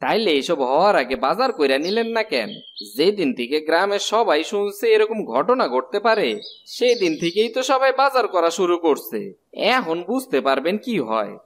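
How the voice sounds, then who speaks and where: A man playing a gloomy, matter-of-fact villager in a cartoon, plain-spoken and resigned, with a rural eastern Bengali accent. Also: sits high. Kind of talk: animated cartoon dialogue.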